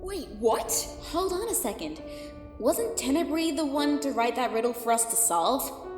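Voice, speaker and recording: A woman voicing an inquisitive, puzzled female cartoon pony with an expressive delivery in an audio drama. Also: high-pitched, normal speed, faint music behind. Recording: roomy reverb.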